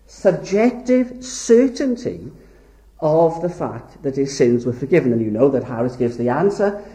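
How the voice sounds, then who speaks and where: A man's voice: on the high side, very animated, unhurried, mellow. Emphatic male preacher in an echoey hall. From Wales. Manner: earnest, emphatic, lingers on key words. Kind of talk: sermon lecture.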